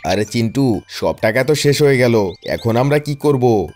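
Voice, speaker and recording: A man's voice: medium pitch, animated, measured pace, a little mellow, soft background music, lightly acted. A man playing a poor, wistful young man who pleads, in scripted cartoon fable dialogue. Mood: worried.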